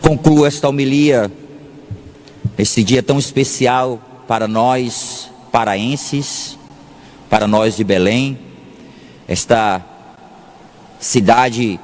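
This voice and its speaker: Slow-paced Brazilian priest in an echoing hall. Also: male voice, medium pitch. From Salvador, Bahia, Brazil. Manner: deliberate, pausing often, repeats phrases for emphasis. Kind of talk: sermon. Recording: long echoing reverb of a large hall.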